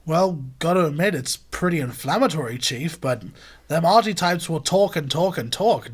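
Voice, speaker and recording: A british pub owner, raspy, kinda high voice, sceptical no-nonsense sidekick in a radio play. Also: male voice, animated, moderate pace. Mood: sceptical, calm. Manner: plain-spoken, sceptical. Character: down-to-earth, unimpressed male tavern keeper and sidekick.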